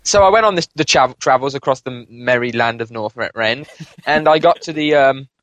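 A British man chatting casually about video games as a podcast panelist, with a hesitant, halting delivery. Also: mid-range voice, a bit bright, dry recording, lo-fi sound. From United Kingdom. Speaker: podcast panelist and game reviewer.

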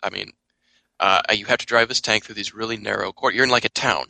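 A man talks games informally on a podcast, swearing freely. His lowish voice is very sharp and edged with fry, and he speaks quickly. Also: fairly even intonation, no echo. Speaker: podcast panelist. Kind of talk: casual gaming chat.

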